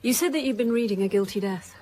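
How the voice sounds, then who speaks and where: Brisk, probing female detective, acted by a woman. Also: on the high side, dark tone, lightly acted. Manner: direct, questioning, matter-of-fact. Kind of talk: naturalistic radio drama dialogue. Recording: clear background noise, vehicle ambience.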